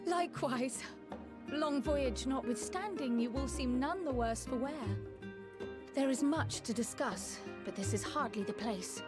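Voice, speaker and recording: A woman's voice: high-pitched, unhurried, over loud music. A woman playing a poised, diplomatic young scholar in a video game, polite, articulate and confident. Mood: friendly, playful. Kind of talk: scripted video game acting.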